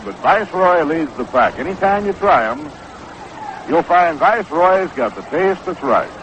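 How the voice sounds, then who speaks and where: A man's voice: fairly high pitch, a bit bright, muffled recording, a little hiss or hum. An American man calling baseball play-by-play in the style of a 1960s live radio broadcast. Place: outdoor ballpark broadcast booth.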